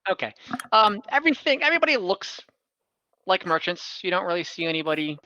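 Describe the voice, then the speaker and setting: A man's voice: sits high, very animated, a little fast, bright, forceful tone, no room sound, highs cut off. A man narrating an improvised tabletop game casually as game master, thinking aloud and correcting himself, on a close mic over an online call.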